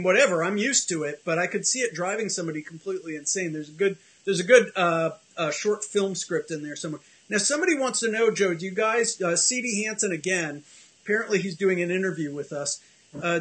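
High-voiced man rambling on a video call. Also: fairly bright tone. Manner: rambling, self-deprecating, many restarts. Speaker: host. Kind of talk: casual chat on a video call. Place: home office with bookshelves.

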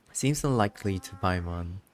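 A game streamer with a low voice talks casually through what is on screen. The delivery is chatty and giggly, like relaxed commentary for viewers.

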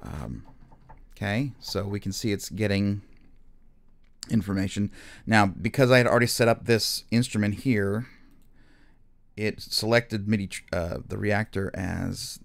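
A man instructing in music software in a casual, unscripted and slightly hesitant explanatory way, as a screen tutorial. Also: lowish voice, unhurried, vocal fry, long pauses.

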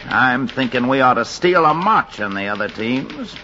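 Forceful, animated baseball boss. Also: male voice, mid-range voice, normal speed. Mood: determined. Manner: emphatic, clipped, commanding. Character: decisive, forceful baseball club chief. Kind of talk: 1940s radio acting. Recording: muffled 1940s radio recording, faint hiss.

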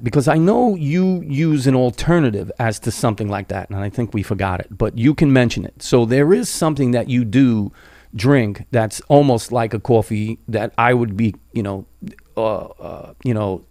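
A man's voice: mid-range voice, warm tone, no echo. A man hosting a podcast interview, rambling along and tagging his sentences with "right?", on a close studio mic. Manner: rambling, keeps adding "right?". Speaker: podcast host. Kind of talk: casual interview talk. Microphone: studio boom mic with headphones, close.

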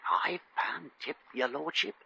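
An actor playing a fussy, obsequious British resort clerk who stammers deferentially, in a 1950 radio comedy. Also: male voice, fairly high pitch, unhurried, gravelly, sharp tone, slight background noise, heavily acted. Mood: flustered. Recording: muffled vintage 1950s radio recording.

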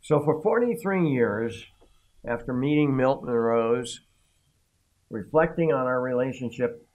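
An older man giving a formal commencement speech, halting and correcting himself as he goes. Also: mid-range voice, rough recording. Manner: slow, halting, with self-corrections. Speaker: commencement speaker. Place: room before a backdrop.

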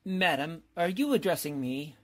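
A man's voice: a little high, lively intonation, normal speed, fairly bright tone. A man playing a courtly, bumbling, genial college professor, polite and slightly pompous, in old-time radio comedy style.

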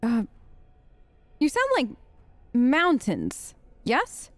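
A woman hosts a casual let's play in a fairly high voice, recorded close and dry. She takes her time, hesitant and wry as she plays along in character. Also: huge pitch swings, very slow, no echo. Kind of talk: role-play gaming commentary, casual.

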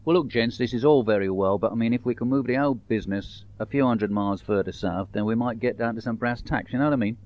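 A man voicing a brisk, matey London geezer with an assertive manner and a London accent. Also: medium pitch, lightly acted. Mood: impatient. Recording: dull home-made tape.

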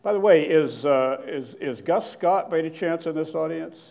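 An older man giving a slide lecture in a hall, with a loud voice and frequent fillers and restarts. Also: on the high side, gravelly. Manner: loud, plain-spoken, halting with many fillers and restarts. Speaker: guest lecturer, archaeologist. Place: lecture hall with seated audience. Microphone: podium microphone, standing at lectern.

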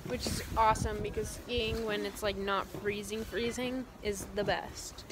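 A teenage girl filming herself on a phone outdoors at a ski area, upbeat and excited. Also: mid-range voice, unhurried. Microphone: phone at arm's length. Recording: loud wind and outdoor noise.

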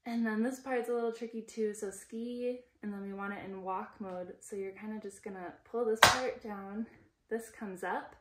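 A woman's voice: mid-range voice, steady tone, normal speed, heavy background noise. A young woman talking casually and step by step as she demonstrates how her ski gear works. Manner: friendly, explains step by step while handling gear. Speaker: outdoor gear vlogger.

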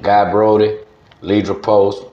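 A man's voice: lowish voice, flat delivery, slow, warm tone. A middle-aged man with a Southern US accent telling stories casually as an interview guest, emphatic and often repeating himself. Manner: emphatic, repeats words and phrases. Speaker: interview guest, former radio DJ. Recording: dull, roomy studio sound.

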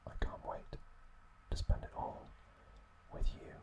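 A man whispering gently and unhurriedly to his listeners as an ASMR host. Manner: gentle, unhurried, softly spoken direct address to listeners.

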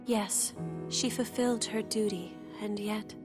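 A woman's voice: mid-range voice, steady tone, a little slow, natural voice. An actress playing a dutiful young female attendant in a game, speaking formally and earnestly. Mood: wistful. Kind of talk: English video game cutscene acting. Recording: loud music under the voice.

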